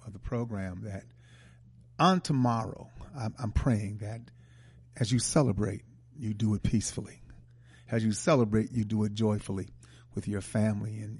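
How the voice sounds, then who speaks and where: A man hosting a talk show, giving closing remarks in a halting way and repeating words as he starts over. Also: fairly low pitch, warm tone, slightly rough. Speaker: radio show host.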